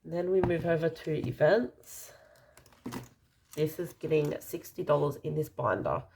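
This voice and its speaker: An Australian woman with a slightly croaky, dry voice, casually narrating as she counts out cash in an unhurried way. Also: sits low, darkish tone, a little hiss or hum. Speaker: budgeting vlogger.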